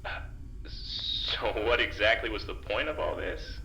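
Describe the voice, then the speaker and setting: A man playing a plain-spoken working-class security guard in a naturalistic audio drama, terse, hesitant and dry, reluctantly soft-hearted. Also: on the low side, a little slow, reverberant, soft background music, low-quality recording. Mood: wry.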